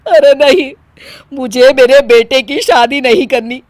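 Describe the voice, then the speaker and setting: A woman's voice: sits high, a little slow, darkish tone, dry recording. A woman voicing a melodramatic, stubborn middle-aged Indian mother in a cartoon moral story, with a dramatic, whiny delivery. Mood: fretful. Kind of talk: animated moral story acting.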